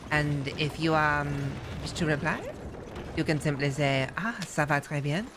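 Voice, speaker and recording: Woman playing a lady, formal, patient, measured speech, noisy background. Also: low voice, little pitch movement. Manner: formal, measured, sprinkles French words. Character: polite French-speaking woman, formal and patient.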